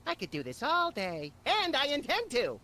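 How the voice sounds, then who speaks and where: An actor playing a manic, gleefully destructive rabbit in a video game, tossing off sardonic quips. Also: male voice, extremely high pitch, huge pitch swings, moderate pace, pushed and bright, full character voice.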